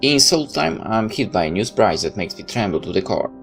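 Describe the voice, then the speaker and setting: A man's voice: fairly low pitch, big pitch swings, a little fast, creaky. A man reading a visual novel aloud in first person, sometimes stepping out of the narration for casual comments. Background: background music.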